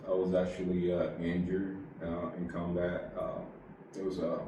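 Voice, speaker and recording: Very deep-voiced man, rambling, distant. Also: completely flat delivery, heavy reverb, background noise. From Puerto Rico. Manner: rambling, halting, many fillers. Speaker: guest, army veteran. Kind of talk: casual interview storytelling.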